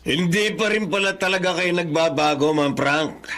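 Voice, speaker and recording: A man's voice: a little high, moderate pace, fairly bright tone, natural voice. A man playing a loyal, protective younger brother and uncle in a Filipino melodrama, speaking earnestly. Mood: scornful. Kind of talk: Filipino melodrama audio acting.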